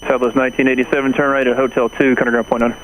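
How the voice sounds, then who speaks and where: A man's voice: medium pitch, flat delivery, racing, a bit bright. A man working as an airport tower controller, giving clipped instructions over an aviation radio. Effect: aviation radio transmission. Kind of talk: rapid clipped radio air traffic instructions. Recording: noisy air traffic radio audio.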